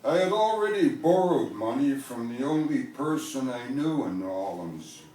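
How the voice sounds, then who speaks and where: Echoey, slow-reading man from Canada. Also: medium pitch, animated, mellow. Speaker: author reading his own work. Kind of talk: literary prose reading at a book launch. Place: indoor event venue, recorded from the audience. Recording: distant, echoey live-venue recording with faint noise.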